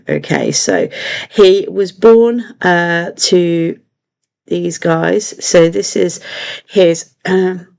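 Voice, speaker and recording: Lady doing a live history stream, low gravelly throaty voice, lots of ums. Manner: chatty, with fillers and self-corrections. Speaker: online history tour host.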